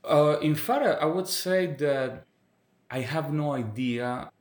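Latin guest, 30s, Venezuelan Spanish accent, hesitant phrasing, echoey room sound. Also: male voice, a little high, darkish tone. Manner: halting, restarts phrases, laughs often. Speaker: guest evangelist. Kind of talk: casual interview.

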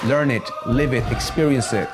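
Man, podcast host, mixes into Spanish, halting restarts, upbeat coaching talk. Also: mid-range voice, over music, a crowd in the background. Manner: mixes English phrases into Spanish; halting, self-correcting restarts. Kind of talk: casual motivational chat.

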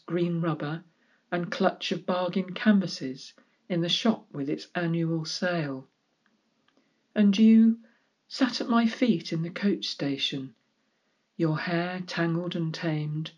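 A woman's voice: fairly low pitch, darkish tone, breathy edge. A woman poet reading a descriptive literary poem aloud, recorded with muffled fidelity. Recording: dull, lo-fi sound.

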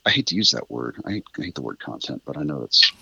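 A middle-aged man with a very low, bright-edged voice talks as a guest in a relaxed interview. He wanders through his thoughts, correcting himself along the way. The sound is close and dry, though slightly muffled. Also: quick pace, gravelly, husky, no room sound. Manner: rambling, thoughtful, self-correcting. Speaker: interview guest, writer of a radio drama. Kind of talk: casual reflective interview chat.

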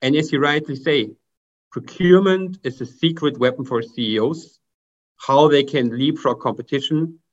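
German guy on a video call, mid-pitched, a bit muffled, kinda formal way of talking. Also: normal speed. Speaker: guest author, procurement consultant. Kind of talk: interview answers.

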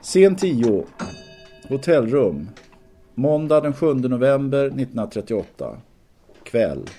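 A man's voice: mid-range voice, sing-song, warm tone, faint music behind. A man narrating an audio drama, reading out scripted scene announcements in short phrases.

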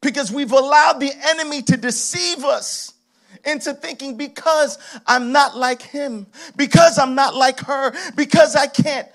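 A man of Haitian heritage preaching a sermon. His delivery is emphatic, builds through shouted repetitions, and is broken up by laughing asides. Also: very high-pitched, unhurried.

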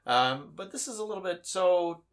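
A man's voice: high voice, lively intonation, normal speed, fairly bright tone. A man hosting a casual interview who asks plain questions in an easygoing way.

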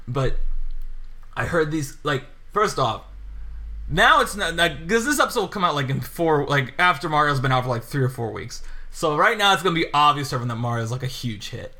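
A man's voice: fairly high pitch, lively intonation, a little fast, sharp tone. A young man in casual podcast chat, telling a scattershot story and laughing often. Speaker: podcast co-host.

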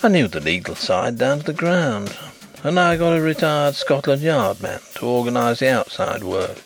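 A man voicing an effusive, easily flustered and rather stiff gentleman detective in a storybook reading. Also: mid-range voice, sing-song, moderate pace, faint music behind, pitched above the performer's own voice. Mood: matter-of-fact. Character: Carlyle, excitable, sympathetic gentleman, proud private inquiry agent.

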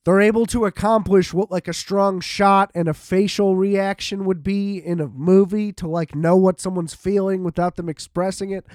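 A man's voice: sits high, a little mellow, no echo, clean studio sound. An American man giving a casual, rambling solo movie review, talking in an informal, profane way and repeating himself for emphasis. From United States.

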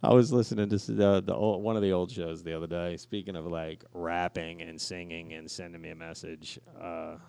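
A man's voice: low-pitched, level tone, a little fast, vocal fry, few pauses, dark tone, smooth. A middle-aged man co-hosting a casual podcast, telling stories with swearing and repeated words for emphasis. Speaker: podcast co-host. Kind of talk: casual podcast banter and storytelling.

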